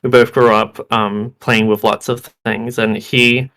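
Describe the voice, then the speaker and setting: A young man who is slightly hoarse from being sick, giving a game development talk over a video call with many ums and restarts. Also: fairly low pitch, flat delivery, unhurried, a bit bright. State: hoarse, losing his voice. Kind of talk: presentation talk.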